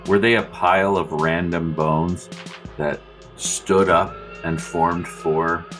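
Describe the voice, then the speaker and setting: A man's voice: deep voice, fairly even intonation, takes it slowly, fairly bright tone, soft background music. A man talking casually as a player in a tabletop game.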